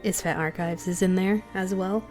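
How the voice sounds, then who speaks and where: A woman's voice: lowish voice, fairly even intonation, normal speed, a little mellow, faint music behind. A woman delivering a scripted thank-you announcement to podcast listeners as a member of the cast.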